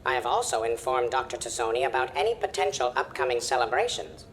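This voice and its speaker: EDI, very low-pitched female AI. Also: sing-song, slight background noise. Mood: composed. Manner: formal, precise, measured. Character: EDI, polite, composed female ship artificial intelligence.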